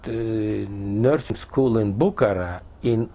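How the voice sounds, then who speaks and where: Older man, mellow and low. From Tajikistan. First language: Persian. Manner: halting non-native English in short fragments. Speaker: interviewee. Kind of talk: oral history interview.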